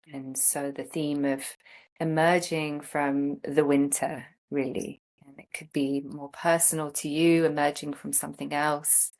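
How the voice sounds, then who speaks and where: Slow, low-voiced woman guiding meditation. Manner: gentle, unhurried phrasing with long pauses. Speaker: meditation and yoga teacher. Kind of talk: guided meditation, slow instruction.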